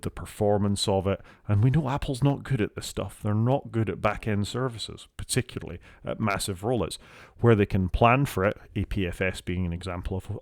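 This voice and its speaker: A low-pitched man with a Scottish accent chats about technology as a podcast co-host. His delivery is wry and measured, and his phrases often fade off quietly at the end. The sound is dry and close, with no room around it. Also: no echo. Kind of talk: casual tech chat.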